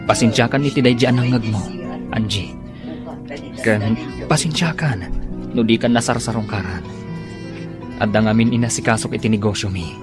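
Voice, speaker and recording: A man playing an apologetic, courting young gentleman with an Ilocano accent in a radio drama. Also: fairly low pitch, normal speed, pauses often, over music, lightly acted. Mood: apologetic, wooing.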